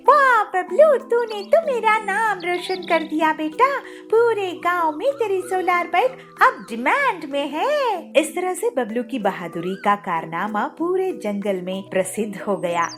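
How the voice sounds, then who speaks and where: A woman storyteller reading a scripted children's story as narrator. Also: mid-range voice.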